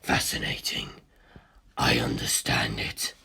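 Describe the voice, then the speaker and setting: A narrator voicing a cold, inhuman male ultimate being, speaking slowly and breathily with detached curiosity. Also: extremely low pitch, little pitch movement, bright, forceful tone, voice pushed down, exaggerated voice. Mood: fascinated. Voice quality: breathy, half-whispered. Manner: slow, deliberate, detached.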